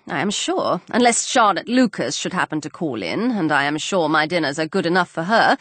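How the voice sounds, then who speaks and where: A querulous, excitable middle-aged mother from a storybook, as a woman narrator acts her out. Her pitch is high and swings wildly as she fusses and complains. The sound is close and dry. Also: no room sound. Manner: exclamatory, complaining.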